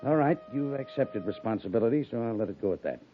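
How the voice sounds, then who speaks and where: A man's voice: mid-range voice, lively intonation, fast talker, soft background music, close to an everyday voice. A man in a 1950s radio drama playing a blustery, grumbling but indulgent cavalry major, emphatic and easily exasperated. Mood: grudging, brisk. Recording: muffled, band-limited 1950s radio recording.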